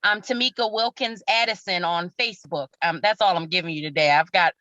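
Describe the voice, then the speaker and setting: A woman's voice: lowish voice, brisk, pushed and bright, no room sound, lo-fi sound. A woman chatting casually as an interview guest from a cast. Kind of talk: casual chat.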